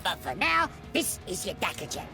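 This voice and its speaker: An actor voicing a small, eager, servile goblin assistant in a video game, with a squeaky voice. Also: big pitch swings, normal speed, gravelly, sharp tone, faint music behind, exaggerated voice. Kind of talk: video game character acting.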